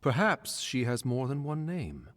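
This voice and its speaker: An actor playing the wry ghost of a former court servant in a video game, speaking in a courteous, musing, theatrical way. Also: male voice, mid-range voice, huge pitch swings, measured pace, a bit bright. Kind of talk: scripted video game character dialogue. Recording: long hall-like reverb.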